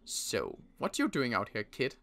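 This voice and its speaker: A man playing a wary adult survivor in an improvised game roleplay, talking in a wry, halting way with frequent restarts. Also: medium pitch, huge pitch swings, a bit bright. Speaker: roleplay player.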